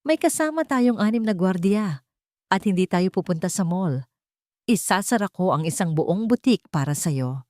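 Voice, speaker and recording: A woman's voice: mid-range voice, animated, moderate pace, darkish tone, dry recording, clean studio sound, natural voice. Woman voicing a cold, commanding male CEO. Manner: curt, commanding.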